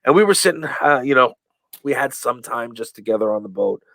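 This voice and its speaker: A man being interviewed on a podcast, telling stories in a rambling way, full of fillers and restarts, and acting out quoted lines with lively voices. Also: mid-range voice, fairly quick, no room sound. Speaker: guest, professional wrestler. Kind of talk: casual storytelling in a podcast interview.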